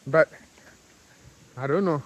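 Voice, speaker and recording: A man from Ghana with a Ghanaian accent, talking casually to camera in a vlog, halting with many fillers and restarts. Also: a little high, lo-fi sound. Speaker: vlogger, car detailer.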